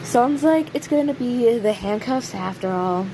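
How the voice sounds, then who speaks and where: Woman acting a slow-drawling, snarky jailed killer. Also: fairly high pitch. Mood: teasing, coy. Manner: sarcastic, drawling, teasing, with pointed pauses. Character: snarky, taunting imprisoned serial killer, cocky and sardonic. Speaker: voice actor in an audio roleplay. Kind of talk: audio roleplay acting, addressing an unheard listener. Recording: steady clear background hiss or hum.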